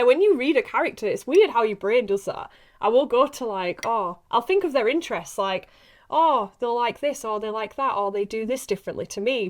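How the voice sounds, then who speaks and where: A woman chatting casually and informally as an actress on a podcast. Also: medium pitch. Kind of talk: casual chat.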